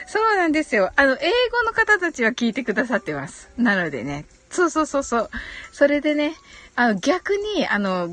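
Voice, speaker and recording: High-pitched, giggly woman chatting on stream. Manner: giggly, frequent laughter while talking; chatty, responsive, frequent short acknowledgements. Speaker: host of a live audio stream. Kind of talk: casual chat, reading out listener comments.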